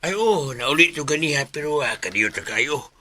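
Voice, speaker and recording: A man with a Cebuano Filipino accent acting a hesitant, husky neighbour in a radio drama. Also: a little high, expressive, normal speed, sharp tone.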